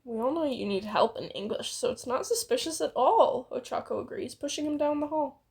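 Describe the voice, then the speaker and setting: A woman's voice: sits high, brisk, gravelly, a little mellow, breathy edge. A woman reading fan fiction aloud in an informal, playful way, with bits of banter.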